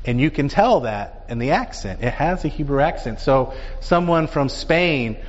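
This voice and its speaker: A man teaching a Bible class, talking in an animated way and dotting his points with rhetorical questions. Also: medium pitch, moderate pace. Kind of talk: lecture with audience questions. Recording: dull sound with long reverb in a hall.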